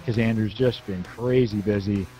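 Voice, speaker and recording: A man's voice: lowish voice, steady tone, moderate pace, dark tone, faint music behind, low-quality recording. A man hosting a casual tech podcast from his home studio, chatty and rambling, with lots of fillers and restarts. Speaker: podcast host.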